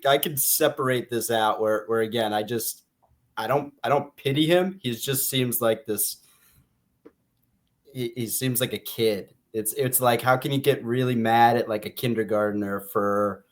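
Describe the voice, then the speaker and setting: A man's voice: medium pitch, moderate pace. An American man co-hosting a casual book discussion, hesitantly repeating and rephrasing his points as he goes. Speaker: podcast co-host.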